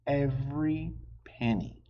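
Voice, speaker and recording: A man's voice: medium pitch, highs cut off, pitched above the performer's own voice, lightly acted. A man voicing a patient, reasonable father of a young girl in a children's storybook. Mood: calm, teasing. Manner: patient, measured.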